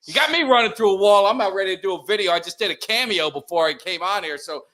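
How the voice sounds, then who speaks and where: A man's voice: high voice, fast, bright, forceful tone. A middle-aged man hosting a casual live stream, upbeat and keen to plug his channel. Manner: upbeat, plugs the channel often. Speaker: podcast host.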